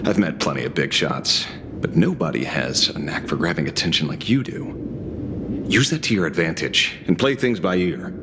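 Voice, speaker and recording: A man's voice: a bass voice, animated, moderate pace, background noise. An actor playing a cocky, easygoing showman in a video game, with a breezy, teasing, theatrical delivery over music. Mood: breezy, encouraging. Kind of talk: scripted video game voice acting.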